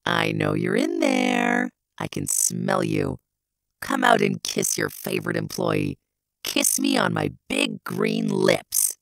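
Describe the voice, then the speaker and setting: A woman's voice: extremely low pitch, wildly swooping pitch, slow, vocal fry, dry recording, soft background music, heavily acted. A woman playing a gleeful, sinister female alien posing as an office worker in an audio drama, with a mock-innocent, taunting delivery. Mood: gloating, predatory.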